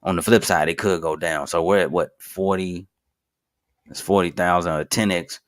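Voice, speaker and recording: Low-voiced man in his thirties, rambling. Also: steady tone, normal speed. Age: 30s. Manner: rambling, self-correcting, with frequent fillers and restarts; informal, addresses viewers as "guys". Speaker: finance video host. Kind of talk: casual screen-share commentary.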